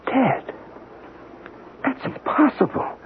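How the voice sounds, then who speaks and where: An actor playing a practical, skeptical investigator with an insistent, questioning manner in a 1970s radio drama. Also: male voice, slow, natural voice. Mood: alarmed. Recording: muffled, noisy old radio broadcast.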